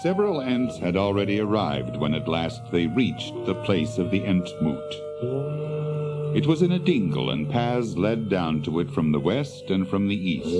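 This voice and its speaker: A man delivers scripted, dramatic story narration. His voice is low and moves expressively up and down. Prominent music plays behind him.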